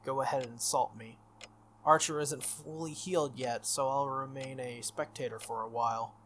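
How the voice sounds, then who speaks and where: A man's voice: mid-range voice, steady tone, moderate pace, fairly bright tone, a little hiss or hum, natural voice. Man reading as a shrewd young female mage. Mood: guarded. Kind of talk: visual novel character read aloud.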